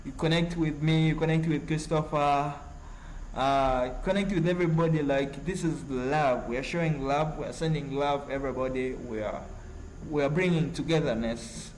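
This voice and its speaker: Halting Ugandan man chatting, noisy background. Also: on the high side, a little mellow. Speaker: livestreamer. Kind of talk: casual live chat with viewers, reading out messages. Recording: noticeable background noise.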